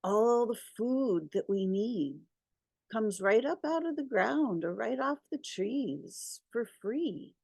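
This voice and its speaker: Very slow-speaking woman leading meditation. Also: fairly high pitch, expressive, vocal fry, a little mellow, dry recording. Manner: deliberate, short phrases with long pauses. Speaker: guided meditation leader. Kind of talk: slow, guided spiritual meditation talk.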